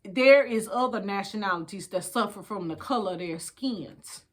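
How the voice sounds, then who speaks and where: A woman's voice: medium pitch, moderate pace. An older woman with an American accent, chatting casually and reading from a book in a folksy, emphatic way to her listeners. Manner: folksy, addresses listeners as babies, repeats phrases for emphasis. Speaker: storytime host. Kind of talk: casual chat with book read-aloud.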